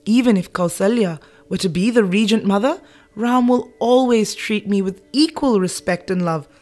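A woman's voice: on the high side, very animated, normal speed. A woman doing a proud, indignant queen. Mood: angry. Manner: firm and indignant. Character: proud, kind young queen.

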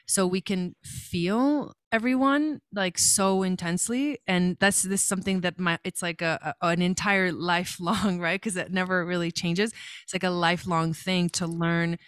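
A woman's voice: fairly low pitch, fairly even intonation, normal speed, smooth, no echo, studio quality. A young woman tells her personal story casually, in a reflective, rambling way. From Los Angeles area, California. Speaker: guest.

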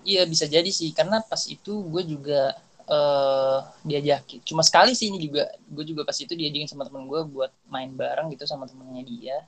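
A man's voice: on the high side, fairly even intonation, brisk, fairly bright tone, rough recording. A young man with a Jakarta Indonesian accent, chatting casually and slangily as a podcast co-host. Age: young adult.

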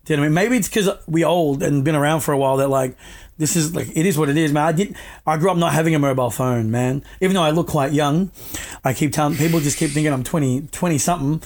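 Man, relaxed, rambling, filler-heavy, slightly high pitch. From Australia. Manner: laid-back, rambling, drawn-out speech with many fillers. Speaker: guest, wrestler. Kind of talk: casual video-call chat.

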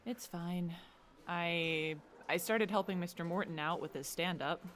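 A woman's voice: fairly low pitch, a little slow, fairly bright tone, slight background noise. A woman acting in an audio drama as a guarded, stressed teenage girl athlete, with a dry, defensive delivery.

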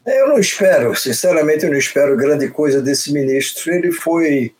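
A man with a Brazilian accent, a panel commentator who speaks skeptically and somewhat haltingly about a new minister. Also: on the high side, expressive, darkish tone, breathy edge. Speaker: political commentator.

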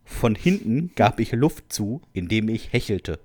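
A man's voice: lowish voice, very animated, a little slow, noisy background. A man co-hosting a podcast, reading out listener stories in an amused, informal way. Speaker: podcast co-host.

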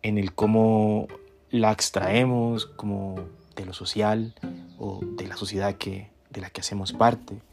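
Low, mellow man lecturing. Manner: measured, deliberate, halting phrasing with pauses. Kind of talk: reflective academic lecture. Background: guitar music.

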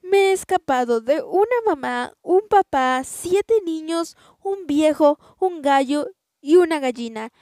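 A woman voicing a frightened, indignant runaway tortilla in a storybook reading, with a high, loud, exclaiming voice. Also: animated, a little slow, no room sound, studio quality.

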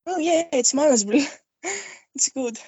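A young adult woman from Greece with a Greek accent, a guest poet who talks thoughtfully in a casual interview, pausing on fillers. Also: sits high, expressive, warm tone, no echo. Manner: thoughtful, unhurried, halting with fillers.

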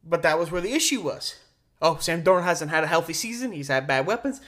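Animated male sports host, swooping pitch. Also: a little fast. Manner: emphatic, opinionated, stretches words for stress. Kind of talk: solo sports commentary.

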